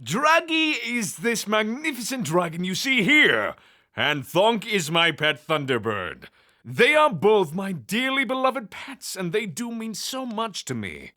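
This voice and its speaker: A man as a bright, high-voiced genteel immortal. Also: very animated, measured pace, heavily acted. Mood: fond, proud. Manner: polite, formal, effusive. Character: genteel, doting supernatural owner of magnificent beasts.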